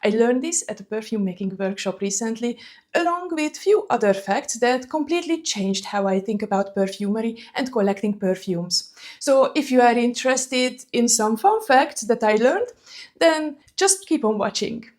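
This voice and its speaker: A woman with a Hungarian accent speaks in a casual, conversational vlog style, full of friendly asides. Her voice sits a little on the high side. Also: expressive, normal speed, darkish tone. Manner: chatty, conversational, frequent "you know" asides. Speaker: fragrance vlogger.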